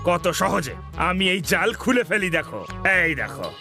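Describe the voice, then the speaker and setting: A bragging, cowardly grown man talks in a very high voice. He boasts and frets in cartoon style. Music plays underneath. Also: big pitch swings, moderate pace, vocal fry, heavily acted. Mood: boastful. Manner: bragging, then panicky. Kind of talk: cartoon dub acting.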